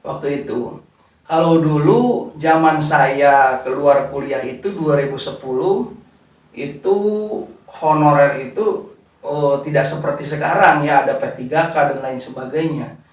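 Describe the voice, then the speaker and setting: A man in his thirties from West Java, giving unhurried interview answers full of small fillers, and he calls the interviewer "Pak". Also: a little high, far from the mic. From West Java, Indonesia. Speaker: founder of a community organization. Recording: echoey room, voice from off-mic.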